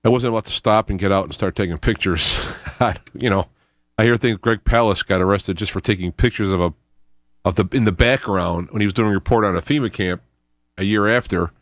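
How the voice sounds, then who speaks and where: Man, low voice, dry close sound, rambling informal commentary, self-correcting. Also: fairly quick, dry recording. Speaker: host of an independent radio show. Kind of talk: informal video commentary on his own investigation.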